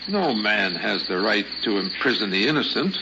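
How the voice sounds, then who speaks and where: An actor playing an old religious zealot, gentle but fervent, with solemn biblical phrasing in an old-time radio drama. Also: male voice, on the low side, big pitch swings, moderate pace. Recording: muffled, noisy old radio recording.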